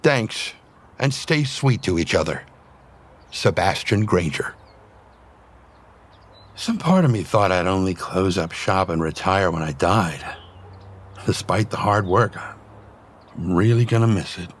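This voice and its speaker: A man voicing a gentle, sentimental aging father animal in a motion comic, speaking tenderly and with emotion in a soft, husky voice. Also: deep voice, animated, slow pace, long pauses, a little hiss or hum. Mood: wistful.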